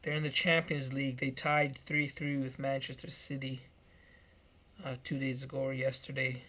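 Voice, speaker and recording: A man's voice: medium pitch, flat delivery, moderate pace, pushed and bright, poor audio. A man in his early thirties giving a meandering personal life update, often repeating himself and restarting phrases. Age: 30s. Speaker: vlogger.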